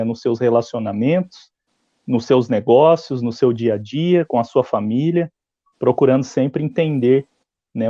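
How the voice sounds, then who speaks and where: Courteous Brazilian host on a muffled call. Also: male voice, mid-range voice, mellow, no room sound. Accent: Brazilian Portuguese. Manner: courteous, formal phrasing with frequent "né". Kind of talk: live interview. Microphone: video call. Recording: slightly muffled video-call audio.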